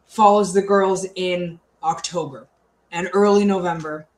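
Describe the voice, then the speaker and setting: A woman in her thirties chatting casually and bluntly as she co-hosts a book discussion, with a sardonic streak. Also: fairly low pitch. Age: 30s. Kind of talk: casual chat, book commentary. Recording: roomy echo, faint background noise.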